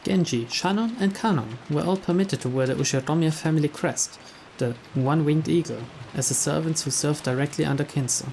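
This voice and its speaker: A man narrating a visual novel playthrough, reading the story aloud in a measured way with small stumbles. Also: on the high side, darkish tone, low-quality recording. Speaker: narrator, game playthrough host. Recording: faint rain noise behind.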